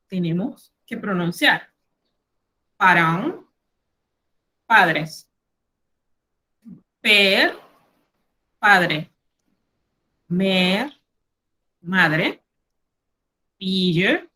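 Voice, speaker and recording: Slow, bright Panamanian woman teacher. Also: medium pitch. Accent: Panamanian Spanish. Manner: slow, careful explaining, reads word lists aloud. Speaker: French teacher. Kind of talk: online class lesson.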